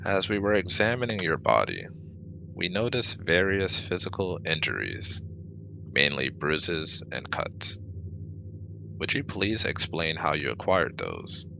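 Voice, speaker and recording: A man's voice: low-pitched, sing-song, unhurried, creaky, noisy background, heavily acted. A man playing a stiff, formal alien scientist in a scripted ASMR roleplay, clinical and robotic in manner. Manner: formal, robotic, avoids contractions.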